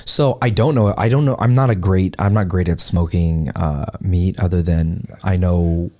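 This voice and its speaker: A man's voice: low voice, normal speed, mellow. An American man hosting a podcast, chatting casually and telling personal stories in informal, slangy phrasing. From Hawaii, United States. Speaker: podcast host. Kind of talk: casual chat and personal storytelling.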